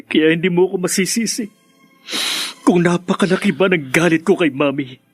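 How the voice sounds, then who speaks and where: Bitter angry grown son in radio soap, highish voice, music behind. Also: male voice, normal speed, husky, dry recording. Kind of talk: radio drama acting.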